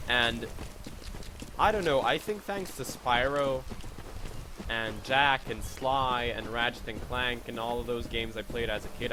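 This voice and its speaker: Young Serbian-accented male streamer, loose and chatty, swears freely; mid-pitched voice, loud noise behind. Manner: chatty, swears, thanks viewers, reacts to gameplay. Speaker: game streamer. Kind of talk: casual live-stream commentary.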